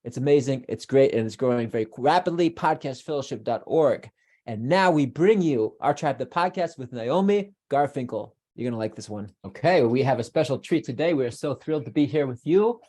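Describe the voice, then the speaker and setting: Upbeat podcast host with a mellow voice. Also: male voice, medium pitch, animated, brisk. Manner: upbeat, chatty, restarts sentences often. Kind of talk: podcast interview.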